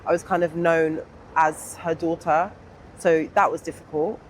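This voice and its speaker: A young adult woman with a southern English accent speaks candidly and informally in an interview, pausing to think as she reflects. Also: low voice, fairly even intonation, slight background noise, low-quality recording. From England. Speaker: interview guest.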